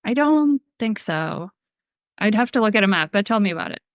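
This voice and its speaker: A woman from Sweden hosting a podcast interview, asking relaxed, chatty questions with a lot of "like". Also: mid-range voice, normal speed, no room sound, studio quality. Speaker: podcast host. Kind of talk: casual interview.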